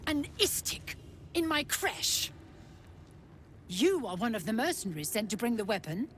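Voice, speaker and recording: An actress playing a haughty, menacing alien warrior commander in a fantasy video game, speaking in a clipped, formal, commanding manner. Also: female voice, on the high side, very animated, moderate pace, a bit bright, slight background noise. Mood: cold suspicion.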